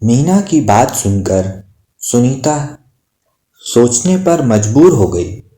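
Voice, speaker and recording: A man narrating a cartoon moral story in a measured storytelling way. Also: medium pitch, big pitch swings, warm tone, roomy sound.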